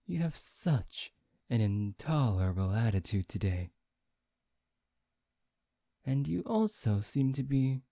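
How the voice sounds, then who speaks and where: Someone playing a very slow-talking, smug vampire. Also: medium pitch, warm tone, no echo, clean studio sound. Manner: theatrical, drawn-out, coaxing delivery with mock politeness. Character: smug, teasing high-ranking vampire, playfully menacing and possessive. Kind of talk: ASMR roleplay acting.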